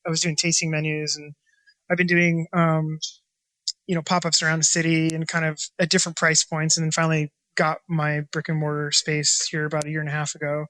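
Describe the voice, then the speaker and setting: A chef and restaurant owner from Washington State, talking casually in an interview and rambling with lots of fillers and restarts. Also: male voice, a little high, pushed and bright, dry recording, low-quality recording. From Washington State, USA.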